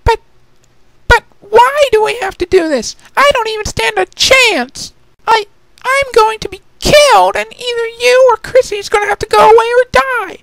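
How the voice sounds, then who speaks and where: This is a tiny, timid girl creature from a fantasy story, put on by a man. The voice is extremely high and squeaky, far from the narrator's own. It stammers and whimpers, always innocent and pleading. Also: normal speed, creaky, a bit bright, no echo, full character voice. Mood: pleading, tearful.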